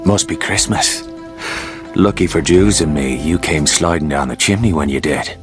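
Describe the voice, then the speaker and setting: An actor playing a brash, foul-mouthed Irish race car driver in a video game, with a gruff, cocky manner. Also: male voice, a bass voice, moderate pace. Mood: wry, flirtatious. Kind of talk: video game cutscene acting. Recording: game audio with prominent music.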